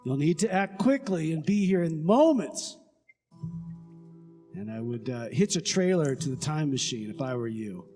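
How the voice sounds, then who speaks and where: A man playing a plucky time-traveling adventurer in a comedy radio play, reporting on a secret mission with dramatic, breezy deliberateness. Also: fairly high pitch, big pitch swings, mellow. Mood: conspiratorial, determined. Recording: long hall reverb with music behind.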